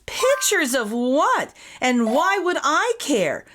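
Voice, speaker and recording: A woman's voice: high voice, big pitch swings, slow pace, a bit bright, a little hiss or hum, pitched above the performer's own voice, heavily acted. A woman voicing an earnest, polite young boy in a children's storybook, with a plain-spoken, wide-eyed manner. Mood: puzzled, doubtful.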